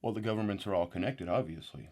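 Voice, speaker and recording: An actor plays a paranoid uncle on a fringe talk show, speaking in a fairly low voice. He rambles through his claims and then breaks into sudden excitable outbursts. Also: fast talker, natural voice. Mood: rambling indignant. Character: rambling paranoid uncle guest, father of sixteen. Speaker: guest. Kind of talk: deadpan parody talk show.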